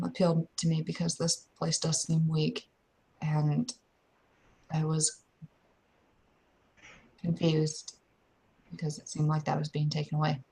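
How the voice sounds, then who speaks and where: A woman playing a proud, blunt young werewolf shield maiden in a tabletop game, with a husky voice and frank, halting phrasing. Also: sits low, warm tone, heavily acted, poor audio. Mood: subdued, wary. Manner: frank, hesitant phrasing but unyielding.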